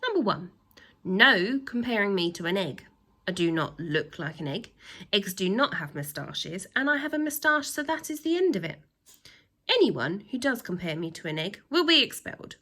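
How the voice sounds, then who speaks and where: A woman voicing a pompous, tyrannical male headmaster reading out stern rules from a children's storybook, with emphatic, drawn-out delivery. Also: medium pitch, very animated, bright, forceful tone. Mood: pompous, indignant. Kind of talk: children's storybook read-aloud.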